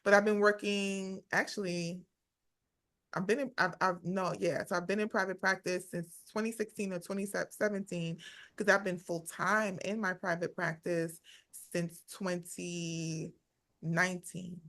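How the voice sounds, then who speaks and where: A woman's voice: lowish voice, level tone, a little slow, fairly bright tone. A woman in her forties, originally from Guyana and raised in New York City, chatting as a therapist guest on a video call and thinking aloud about dates. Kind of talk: casual interview chat.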